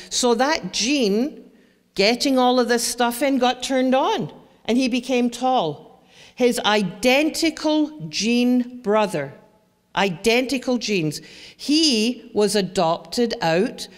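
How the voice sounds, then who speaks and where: Scottish woman speaking slowly in a hall. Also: on the high side. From Scotland. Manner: lively, chatty, asks the audience questions. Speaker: presenter. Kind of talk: educational talk to parents. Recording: long hall reverb.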